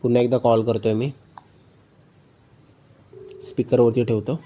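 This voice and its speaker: Mellow-voiced man giving instructions. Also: medium pitch, level tone, a little slow. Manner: instructional, repeats steps and check phrases. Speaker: tutorial host. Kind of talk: phone tutorial narration.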